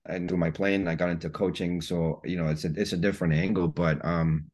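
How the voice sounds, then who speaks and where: A man from Guatemala, a high school soccer coach, talking casually as a podcast guest, often repeating himself with many "you know"s. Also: steady tone, brisk, darkish tone. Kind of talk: casual interview chat.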